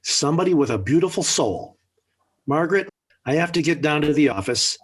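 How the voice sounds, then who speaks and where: A middle-aged American sitcom father on vintage 1940s radio. His voice is slightly high and husky, swinging widely as he delivers formal, dryly sarcastic lines with weary exasperation. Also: expressive, brisk.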